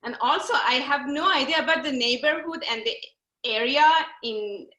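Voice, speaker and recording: A woman's voice: sits high, moderate pace, bright, forceful tone. A woman with a non-native English accent talking effusively and conversationally as a real estate agent on a panel. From a country outside the United States. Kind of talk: enthusiastic conversational panel talk. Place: indoor room on a group video call. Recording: roomy, echoing call audio.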